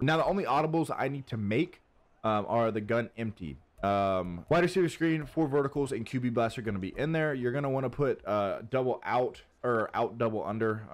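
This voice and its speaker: A young man with a twang talks fast and loose, stuffy and nasal from allergies. His pitch sits in the middle for a man. He rambles excitedly as he walks through a video game strategy. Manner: hyped, rambling, Southern-tinged slang. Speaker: gaming YouTuber. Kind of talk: casual game tutorial commentary.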